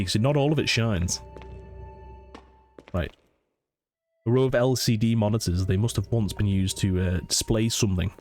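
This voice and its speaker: A man's voice: fairly low pitch, darkish tone. Sniffly man with a cold. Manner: chatty, thinks aloud, reads text slowly. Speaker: let's play gamer. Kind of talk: casual gameplay commentary, reading in-game notes aloud. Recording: faint game music behind.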